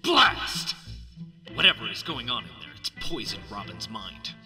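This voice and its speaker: Man acting out a pompous melodramatic superhero, lively swoops. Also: lowish voice, a little slow. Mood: grave, scheming. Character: pompous, square, chauvinist caped superhero detective man. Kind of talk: old-time radio serial parody acting. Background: music.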